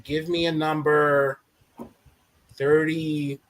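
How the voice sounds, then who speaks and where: Jokey football podcast guy, fairly high voice, dry close sound. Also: drawn-out words, no echo, rough recording. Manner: animated, joking, laughs a lot. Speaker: podcast co-host. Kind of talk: casual sports podcast chat.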